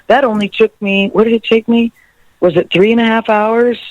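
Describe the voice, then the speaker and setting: A musician guest talking in a rambling, laugh-filled way during a radio interview. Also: male voice, sits high, fairly even intonation, normal speed, no echo, lo-fi sound. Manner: rambling, laughs often, warm storytelling. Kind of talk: interview chat.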